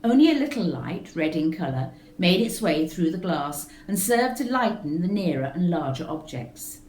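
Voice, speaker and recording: A woman reading a story aloud in a slow, measured, expressive way. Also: lowish voice. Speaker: reader. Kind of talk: storybook narration. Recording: roomy echo with faint music.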